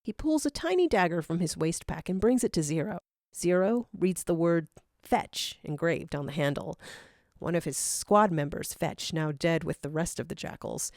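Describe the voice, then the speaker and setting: A woman's voice: lowish voice, moderate pace, a little mellow, no room sound, clean studio sound. A woman narrating scripted fan fiction in a dramatic storytelling style.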